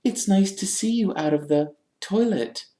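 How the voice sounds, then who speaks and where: An anxious young girl in a storybook, as a man reads her. The voice is high and placating, tripping over words with forced cheer. It echoes slightly in the room. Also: sing-song, slow pace, a little mellow, full character voice. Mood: falsely bright. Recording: roomy, echoing narration recording.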